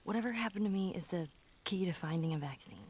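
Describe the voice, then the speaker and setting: A woman's voice: on the low side, animated, quick pace, darkish tone, husky. An actress playing a feisty, curious teenage girl in a video game who talks back. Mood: defiant. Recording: game audio under a noisy let's play.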